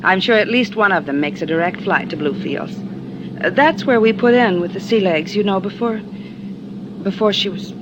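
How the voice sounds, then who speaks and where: A woman's voice: medium pitch, normal speed, natural voice. An actress playing a genteel widow in a 1950s radio drama, gushing and earnest in her overdone assurances. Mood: gushing, wistful. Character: genteel, gushing widow woman professing sorrow. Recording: dull, noisy vintage radio recording.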